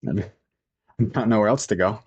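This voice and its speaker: An actor playing a hesitant, bewildered adventure hero in an audio drama. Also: male voice, fairly low pitch, brisk, darkish tone, husky. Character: bewildered male adventure hero meeting his creator.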